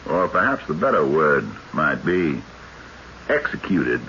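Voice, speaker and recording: A hulking, thoughtful young country sheriff in old-style radio drama. The voice is deep and forceful, measured and pious. It sounds slightly muffled, with faint noise behind. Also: male voice, sing-song, exaggerated voice. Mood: reflective, grave. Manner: measured, grave, deliberate. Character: big, calm, reflective, God-fearing young country sheriff. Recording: muffled, noisy radio broadcast recording.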